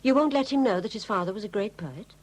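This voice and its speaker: An actress playing a kindly, inquisitive detective's wife, polite and gently persistent, in a 1970s radio drama. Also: female voice, medium pitch, fast talker, close to an everyday voice. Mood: concerned, probing. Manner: polite, expressive. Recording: dated, noisy 1970s radio recording.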